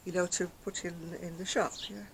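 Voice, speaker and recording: An older woman with a very soft, quiet voice chats to camera as a craft vlogger, rambling and self-mocking, recorded outdoors on a decking. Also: lowish voice, flat delivery, a little fast, vocal fry, slight background noise, poor audio. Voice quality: very soft, quiet voice after throat surgery. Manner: chatty, rambling, self-mocking, laughs softly. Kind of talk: casual chat to camera. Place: outdoors on a decking by a brick wall. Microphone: camera in front at close range.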